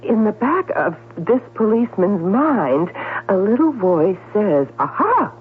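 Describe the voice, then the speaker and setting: A woman's voice: mid-range voice, big pitch swings, measured pace, husky, heavily acted. Emphatic, swooping shrewd newspaperwoman. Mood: urgent, persuasive. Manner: emphatic, articulate, persuasive. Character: shrewd, self-possessed newspaperwoman covering up a crime. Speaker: actor. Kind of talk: 1970s radio drama acting. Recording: narrowband old radio broadcast with hum.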